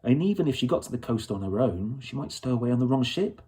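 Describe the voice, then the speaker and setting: A man narrates a novel as an audiobook reader. His voice sits at an average male pitch, with a warm, relaxed, mellow tone. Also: quick pace. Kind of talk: storybook narration.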